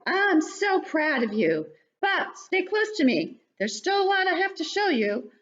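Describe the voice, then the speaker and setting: A woman voicing an encouraging, excited grown bug in a children's picture book, exclaiming and coaxing proudly. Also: very high-pitched, measured pace, lo-fi sound, pitched above the performer's own voice, full character voice. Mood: proud, delighted.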